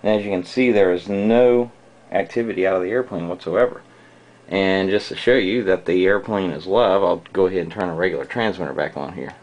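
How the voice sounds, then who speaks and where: A man with a low voice talks through a hands-on hobby demonstration in a casual, unscripted way. He explains step by step, often pausing as he goes. Clear background noise runs behind him on the homemade camera recording. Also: darkish tone, muffled recording. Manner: halting, methodical explaining with frequent pauses.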